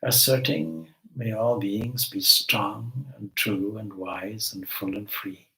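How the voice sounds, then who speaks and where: A man leading a guided meditation as a spiritual teacher, giving gentle instructions with long pauses between phrases. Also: lowish voice, steady tone, low-quality recording.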